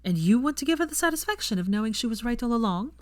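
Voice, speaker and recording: Casting: bantering young witch for an audio story, lifted pitch, prim and pointed played by a female reader. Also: animated, fast talker, no room sound, close to an everyday voice. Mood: airy, teasing.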